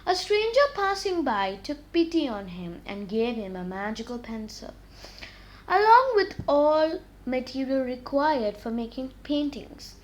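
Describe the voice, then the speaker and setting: A woman reading moral stories aloud for children in an expressive, deliberate, scripted storytelling manner. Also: high voice, poor audio.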